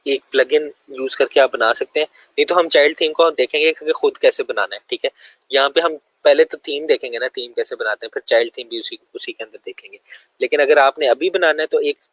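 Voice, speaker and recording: A man's voice: mid-range voice, brisk, fairly bright tone, no room sound, low-quality recording. A man with a sore throat, giving a loose, conversational screen-share lesson full of fillers. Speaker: web development tutor.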